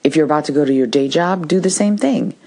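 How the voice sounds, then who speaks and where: A woman with a husky voice hosting a solo podcast, talking through practical advice in a conversational, reflective way. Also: low voice, animated, quick pace, darkish tone. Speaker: podcast host.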